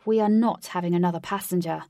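A woman's voice: lowish voice, fast talker, vocal fry, warm tone, dry recording, studio quality. A woman doing a stern commanding warrior man. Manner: curt and commanding.

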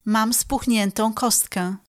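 A woman reading short phrases plainly and clearly for a language course. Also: fairly high pitch, steady tone, takes it slowly, dry recording, clean studio sound. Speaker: Polish phrase reader.

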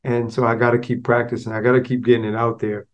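A man's voice: mid-range voice, flat delivery, quick pace, muffled recording. A man hosting a podcast solo, talking casually with a slight stutter and restarts, mixing street slang with business talk. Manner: conversational, street slang mixed with business terms. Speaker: podcast host.

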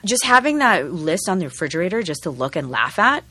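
A woman guest talks chattily with frequent ums and restarts. Her voice is very low for a woman and sounds dry and close to the mic. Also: sing-song, a little fast, pushed and bright, no room sound. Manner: chatty, hesitant, filler-heavy. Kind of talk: casual podcast discussion. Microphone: studio microphone.

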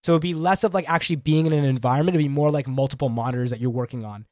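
A young man co-hosting a casual tech podcast, chatty and quick, a little under the weather. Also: medium pitch, darkish tone, no echo, clean studio sound. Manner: quick, chatty, many restarts and "like"s. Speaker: podcast co-host.

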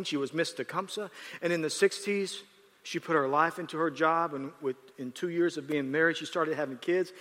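Male pastor, fairly high, bright, forceful voice; rambling conversational sermon with long hall reverb. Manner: rambling, self-correcting, laughs at times.

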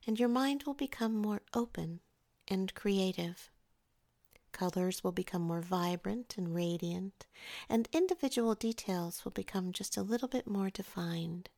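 A woman narrating a scripted guided meditation in a soothing, measured way, softening the ends of her phrases. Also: medium pitch, gravelly.

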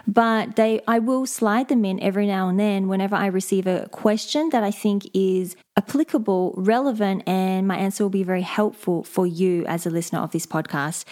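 A woman, a leadership coach, talking alone on her podcast, explaining things in a steady, measured way. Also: mid-range voice. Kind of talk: solo podcast monologue.

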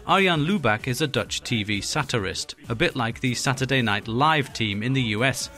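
A man narrating a scripted report in a measured, wry manner. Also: medium pitch, lively intonation, sharp tone. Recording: faint music underneath.

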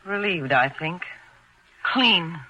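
An unsentimental widow in an old radio noir. Her voice has a very bright, tense edge, and she speaks in guarded, precise, measured phrases. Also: fairly low pitch, animated, breathy edge, no echo, full character voice, low-quality recording. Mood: cool, defiant. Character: cool, composed, unsentimental widowed housewife. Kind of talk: 1940s radio noir acting. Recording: old radio drama recording.

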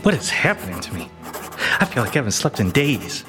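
An actor playing an anxious, bewildered male detective sidekick in an absurd noir comedy podcast, with a halting, rattled delivery. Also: mid-range voice, big pitch swings, over music, lightly acted. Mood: frantic, exhausted. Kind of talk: absurd noir comedy audio drama acting.

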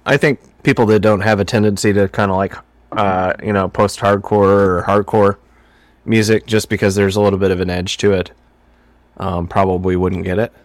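A male podcast co-host with a low voice and a slightly phlegmy sound, recorded very dry. He chats casually, feeling his way through explanations with thoughtful pauses. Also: little pitch movement, dry recording.

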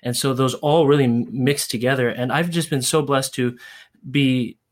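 A young man from Southern California, on as a podcast guest. He talks in a loose, unhurried way, full of ums and restarts, at a middling pitch. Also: fairly even intonation. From Orange County, California. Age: young adult. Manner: hesitant, many fillers and restarts. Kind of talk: casual chat. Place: room in Hawaii. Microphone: shared earbud with the host.

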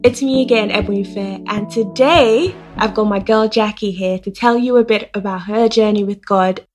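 A woman hosting a podcast, bubbly and teasing, firing quick reactions and questions at her guest. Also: medium pitch, a little mellow, soft background music. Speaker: podcast host. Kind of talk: casual interview chat.

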